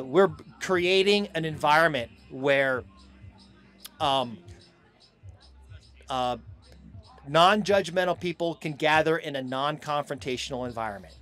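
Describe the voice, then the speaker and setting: A middle-aged American man talks as the guest in a casual outdoor interview. His voice sits a little higher than average. He speaks in stop-and-go phrases into a handheld microphone. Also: animated, a little slow, a bit bright, soft background music. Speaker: hemp farm owner being interviewed. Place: outdoors on a festival lawn.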